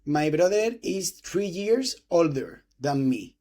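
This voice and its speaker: Spanish-accented young man, higher voice. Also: very animated, dark tone. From Spain. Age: 20s. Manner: halting non-native English with restarts and throat clearing. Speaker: host interviewing a doctor. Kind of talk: casual interview talk.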